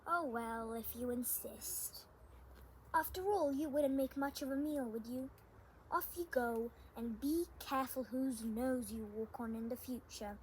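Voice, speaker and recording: A proud storybook lion, king of beasts, put on by a woman, speaking slowly in a high voice. He is haughty and scornful, mocking as he goes. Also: a little hiss or hum, close to an everyday voice, low-quality recording. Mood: dismissive.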